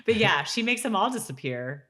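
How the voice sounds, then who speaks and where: A woman co-hosting a podcast in casual conversation, playful and quick to agree with and echo the other speaker. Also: medium pitch, very animated, sharp tone. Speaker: podcast co-host. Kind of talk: casual chat about a TV episode.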